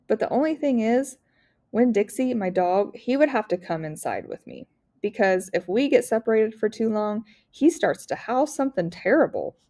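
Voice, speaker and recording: An eager storybook girl, played by a woman, sincere and pleading in her folksy way. The voice is mellow, a little raised from plain narration. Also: medium pitch, lively intonation, moderate pace, lightly acted. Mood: earnest pleading. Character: earnest, eager young Southern preacher's daughter. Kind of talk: storybook read-aloud character voice.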